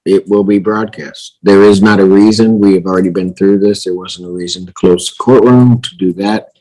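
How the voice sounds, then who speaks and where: A man with a fairly deep voice presides as a judge. His delivery is formal and authoritative, laying out rulings firmly in a courtroom. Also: steady tone, normal speed, dark tone, poor audio. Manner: firm, authoritative. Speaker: judge presiding over a hearing.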